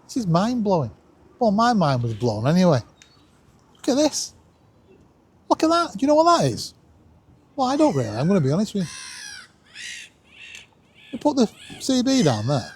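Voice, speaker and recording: A man's voice: sits high, wildly swooping pitch, normal speed, long pauses, a little hiss or hum. A man, chatting casually to camera as a vlog host in a jokey, self-deprecating way.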